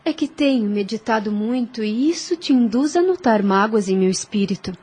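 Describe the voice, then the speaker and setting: A woman with a Brazilian accent acting a gentle, devoted woman of thirty, earnest and formal, in an audio drama. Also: a little high, lively intonation, normal speed, breathy edge. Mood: calm, reassuring.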